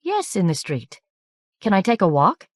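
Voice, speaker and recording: A woman's voice: medium pitch, wildly swooping pitch, moderate pace, no echo, clean studio sound, voice pushed up. A woman voicing a blunt, impatient young woman in an audiobook. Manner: direct, pressing questions.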